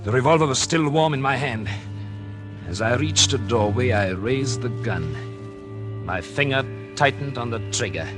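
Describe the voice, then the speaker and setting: An American actor playing a calculating, murderous doctor in an intense, deliberate first-person narration for a 1940s radio suspense drama. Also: male voice, mid-range voice, expressive, lightly acted. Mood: stunned, desperate. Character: calculating murderous husband, a doctor, smug then horrified. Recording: 1940s radio recording with music behind.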